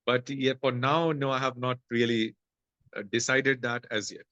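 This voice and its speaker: A man with an Indian accent, a guest in a casual interview, talking haltingly with many fillers and restarts. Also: mid-range voice, flat delivery, pushed and bright, no room sound, dull audio. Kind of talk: casual interview on a video call.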